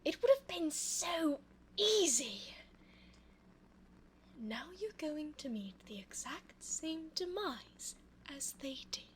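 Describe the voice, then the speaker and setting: A high, swooping female vampire, acted by a woman for an ASMR audio roleplay. She is sly, possessive and evil, speaking in a coy, theatrical manner with breathy asides. The close binaural recording carries faint background noise. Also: very animated, slow, pauses often, exaggerated voice. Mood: menacing, taunting. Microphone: close binaural 3Dio microphone.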